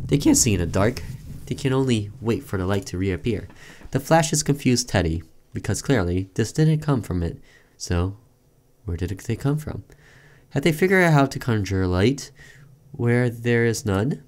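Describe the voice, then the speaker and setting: Guy doing a game playthrough, reads the story out and chats, low voice, some noise behind him. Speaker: gaming video host. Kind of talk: reading a visual novel aloud and giving casual commentary.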